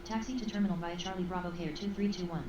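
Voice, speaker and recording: A woman voicing a quick, lowish-voiced airline pilot in a simulator game, clipped and procedural. She comes through a dull, echoey radio channel. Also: steady tone. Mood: businesslike. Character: brisk female airline pilot answering the tower. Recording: muffled, distant, echoey noisy radio.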